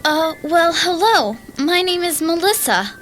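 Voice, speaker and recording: Bold, curious girl for kids' horror tape: high, animated, exclamatory. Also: unhurried, soft background music, exaggerated voice. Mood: amazed. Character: bold, curious young girl exploring a haunted mansion. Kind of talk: children's audio horror drama acting.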